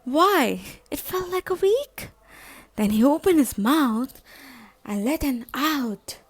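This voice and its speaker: A woman narrates a children's story in a high, very lively voice. Her delivery is slow, dramatic and expressive, as a read-aloud storyteller. The sound is dry and close. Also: dry recording.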